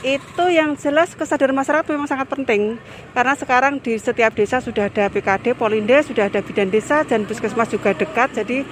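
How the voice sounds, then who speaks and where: A woman's voice: fairly high pitch, quick pace, slight background noise. A woman health worker answering interview questions outdoors, explaining health advice in an instructive way and listing steps one by one. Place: outdoors at a village hall.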